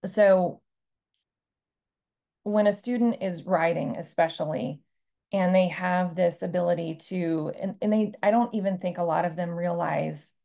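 A woman in her forties, a Russian teacher on an online panel, speaking in a lively, animated way over a video call. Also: on the low side, measured pace, gravelly. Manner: lively, gestures with hands while talking. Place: home room, video call.

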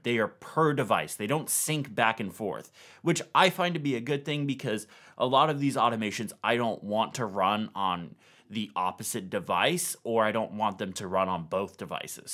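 A man's voice: mid-range voice, fairly bright tone. A man, explaining things step by step in a casual tech tutorial style. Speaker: tech video host. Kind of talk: explanatory tutorial narration to camera.

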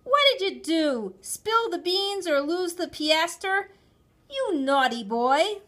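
Casting: female narrator as shouting, scolding mother in a storybook, high swooping pitch, exasperated. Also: animated, slow pace, heavily acted.

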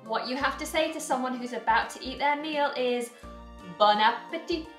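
A woman's voice: high-pitched, fairly quick, music in the background. A young woman presenting a playful children's cooking show in a home kitchen, bubbly and sing-song. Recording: echoey kitchen.

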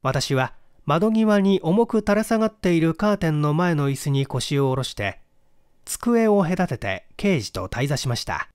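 Casting: male audiobook narrator for mystery fiction, fairly high voice, very varied intonation, theatrical yet careful. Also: sing-song, unhurried, a little mellow, clear, resonant tone, dry recording, clean studio sound. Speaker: audiobook reader. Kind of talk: scripted first-person mystery narration with acted dialogue.

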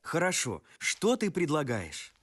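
Young brawny hero for animated feature: boyish, plain-spoken, high for a man, very animated. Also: a little slow, breathy edge, heavily acted. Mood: patient. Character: young, simple, good-natured brawny folk hero. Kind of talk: animated film voice acting.

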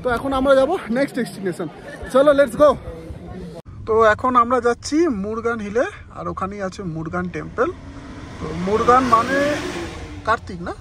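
A man's voice: very high voice, moderate pace, long pauses, a crowd in the background. A man chatting casually to viewers in a travel vlog, giving tips as he goes. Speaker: travel vlogger. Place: car and outdoor sightseeing spots. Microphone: phone held by the speaker. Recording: phone recording with loud road and outdoor noise.

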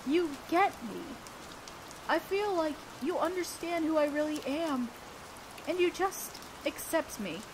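A woman playing an awkward, earnest teenage girl student council president in a romantic audio roleplay, halting and bashful as she talks. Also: sits high, background noise. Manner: halting, bashful, breaking off mid-sentence. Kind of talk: romantic audio roleplay acting, one-sided dialogue. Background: rain sounds.

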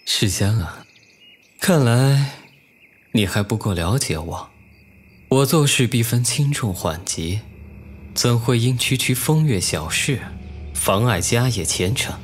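An actor playing a scheming, lecherous young official in a Chinese costume audio drama, smug and deliberate in manner. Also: male voice, mid-range voice, lively intonation, a little hiss or hum. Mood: cool, persuasive. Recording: music under the voice.

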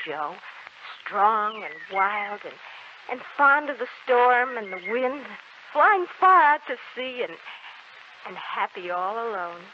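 An actress in a 1940s American radio drama playing a frail, gentle dying young woman, speaking haltingly and wistfully with sweet resignation. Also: mid-range voice, natural voice. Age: young adult. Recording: muffled, very noisy 1940s radio recording.

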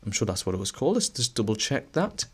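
A male teacher narrating a screen-recorded lesson, a little hoarse as he is losing his voice, talking through each step with fillers and restarts. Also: on the low side, fast. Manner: relaxed, thinking aloud with fillers and restarts.

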